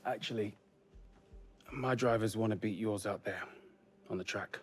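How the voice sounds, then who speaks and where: A man acting as a principled, calm racing team manager in a naturalistic screen drama. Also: fairly low pitch, normal speed, husky. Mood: firm accusing.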